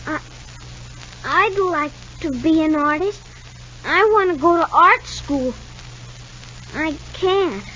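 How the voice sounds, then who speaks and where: A woman's voice: sits high, animated, slow pace, long pauses, bright, forceful tone, heavily acted. A woman playing an anxious, stubborn six-year-old boy in a 1950 radio soap opera, hesitant, stammering and polite. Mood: shy, wistful. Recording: muffled, noisy 1950 radio recording.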